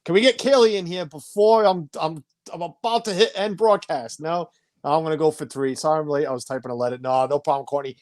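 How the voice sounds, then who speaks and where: A man's voice: a little high, a little fast, darkish tone, dry recording, clean studio sound. A man in his forties hosting a casual live stream from home, chatty, stumbling over names and reading viewer comments aloud. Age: 40s. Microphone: home streaming setup.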